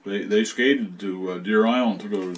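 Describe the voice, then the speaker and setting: A man, recalling things casually and haltingly. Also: lowish voice. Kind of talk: casual recollection.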